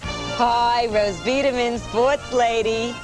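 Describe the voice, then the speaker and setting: A woman's voice: fairly high pitch, fairly even intonation, takes it slowly. An actress playing a gushing, self-promoting woman sports presenter in a coy parody TV promo. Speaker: TV sports host. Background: music.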